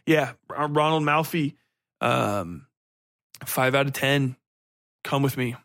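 A man's voice: mid-range voice, slow, vocal fry, a bit bright, dry recording, studio quality. A man giving a casual, unscripted podcast review, rambling and correcting himself, with blunt, sweary opinions. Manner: rambling, hesitant, self-correcting. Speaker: podcast host reviewing books and TV.

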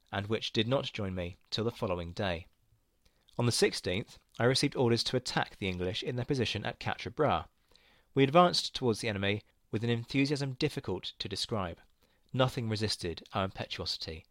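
Guy with a lowish voice reading an old letter, formal and measured, dry sound. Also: dry recording. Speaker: reader of historical accounts. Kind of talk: dramatic reading of a historical letter.

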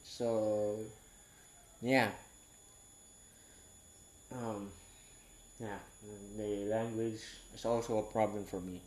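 Young man, 18, from Southeast Asia, non-native English speaker, frank and halting, stops to search for words; casual confessional monologue. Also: lowish voice, fairly even intonation, darkish tone. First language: not English. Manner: halting, rambling, frank, self-correcting.

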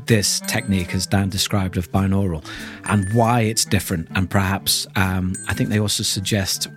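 A man's voice: low voice, fairly even intonation, moderate pace, a bit bright, soft background music. A man hosting a podcast, talking through an introduction in a thoughtful, explanatory way. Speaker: podcast host.

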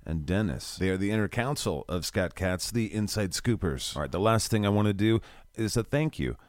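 A man's voice: on the low side, animated, fairly quick, dry recording. A man hosting a podcast, talking casually in a self-deprecating, comedic way. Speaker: podcast host and creator. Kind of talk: casual comedic outro talk.

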